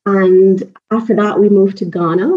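A woman from Pakistan in her thirties, a native Urdu speaker, telling personal stories in an animated, chatty way as an interview guest. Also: mid-range voice, moderate pace. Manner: animated, chatty, laughs often. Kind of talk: casual personal storytelling in conversation. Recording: dull lo-fi audio.

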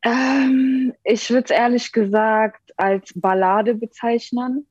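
A woman's voice: on the high side, fairly even intonation, slow, darkish tone, husky, no room sound. A young woman from Berlin, a rapper, joining an interview by video call and answering thoughtfully with many hesitations. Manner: thoughtful, many ähm fillers. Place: joining remotely. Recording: dull lo-fi video call audio.

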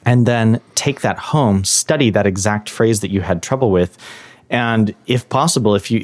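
A man's voice: fairly low pitch, darkish tone. A man who is a guest in an interview, explaining his advice on learning languages in a thoughtful, step-by-step way. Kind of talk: interview answers.

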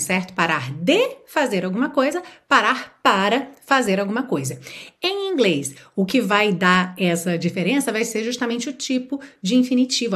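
A woman from Brazil teaching an English lesson, explaining patiently, checking in often with "ok?" and slowing down to model English phrases. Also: medium pitch, fairly bright tone. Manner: explanatory, checks in with frequent "ok?" and "certo?".

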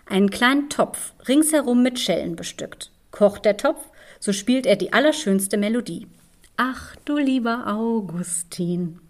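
A woman hosting a podcast, narrating fairy tales in an expressive, measured storytelling manner. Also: fairly high pitch. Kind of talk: fairy-tale narration and discussion.

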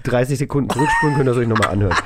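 A man's voice: mid-range voice, wildly swooping pitch, rapid-fire, heavy background noise. A man co-hosting a show in casual, playful conversation. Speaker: magazine show co-host.

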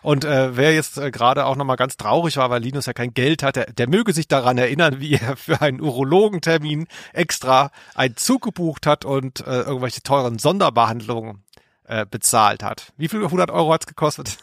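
A man's voice: mid-range voice, brisk, a bit bright, dry recording. A man co-hosting a podcast, chatting casually with offhand, dry humour. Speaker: podcast co-host. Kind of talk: casual chat.

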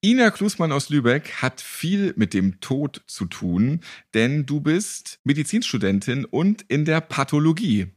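A man's voice: fairly high pitch, big pitch swings, a little slow, hardly any pauses. A man hosting a casual interview podcast in a jokey, playful way. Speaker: podcast host.

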